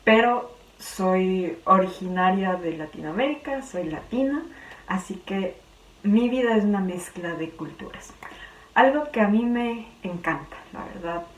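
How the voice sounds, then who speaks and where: A young woman from Ecuador with a Quito accent, talking chattily in a personal vlog and sometimes pausing to search for words. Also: mid-range voice, expressive. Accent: Quito Ecuadorian Spanish. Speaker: vlogger. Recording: roomy, distant, faint background hum.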